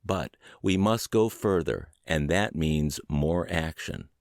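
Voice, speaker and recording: A man reading an inspirational book aloud as a podcast narrator, with measured, deliberate and emphatic phrasing. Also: low voice, no room sound, studio quality. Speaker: podcast narrator, audiobook reader.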